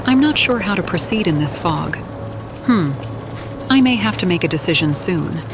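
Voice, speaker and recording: A woman's voice: on the low side, animated, moderate pace, over music. A woman giving in-character role-play commentary over a video game, with game ambience behind her. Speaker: gaming video narrator playing in character.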